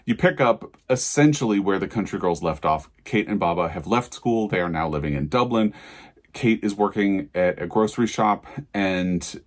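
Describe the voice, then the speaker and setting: Low-voiced man chatting about books. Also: normal speed. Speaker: book reviewer vlogger. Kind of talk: casual book review monologue.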